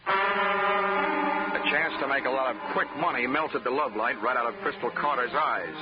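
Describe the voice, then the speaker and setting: An actor playing a wisecracking insurance investigator in a 1940s radio drama, narrating and talking with a dry, wry manner. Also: male voice, mid-range voice, animated, a little slow, lightly acted. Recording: muffled old radio broadcast with music.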